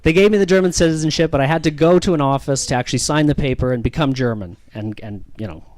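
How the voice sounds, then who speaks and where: A man's voice: a little high, fast, darkish tone. A man with a Canadian accent doing self-deprecating, ironic stand-up comedy and bantering with the audience.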